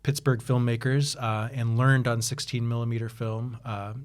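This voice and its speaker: A man's voice: medium pitch, flat delivery, moderate pace. A man, a podcast guest chatting casually, rambling with restarts and fillers and laughing easily. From United States. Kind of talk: casual interview chat.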